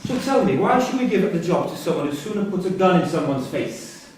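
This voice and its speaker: Needling, dismissive prison inmate in stage drama, recorded from a distance with faint noise. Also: male voice, sits high, big pitch swings, fairly quick. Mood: mocking. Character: sardonic, provoking male prisoner playing a job interviewer. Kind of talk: staged play reading. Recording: distant echoey hall with background noise.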